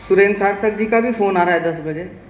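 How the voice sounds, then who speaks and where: A man's voice: high-pitched, fairly quick, faint music behind. A man performing comic poetry on a live stage, teasing and talking straight to the audience. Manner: teasing, addresses audience directly. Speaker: comic poet. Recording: echoey live venue.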